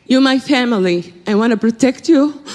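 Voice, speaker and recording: A woman's voice: a little high, animated, moderate pace, a little mellow. A middle-aged woman from Syria, making an earnest, pleading appeal from the floor of a conference in broken English. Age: middle-aged or older. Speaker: audience speaker. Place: conference hall. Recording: reverberant hall.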